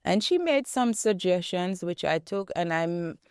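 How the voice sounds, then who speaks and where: A woman in her fifties from Jamaica, with a Jamaican accent, answering interview questions in a reflective, measured way. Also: lowish voice, expressive, darkish tone, clean tone, dry recording, clean studio sound. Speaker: guest, educator and author. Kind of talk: interview conversation.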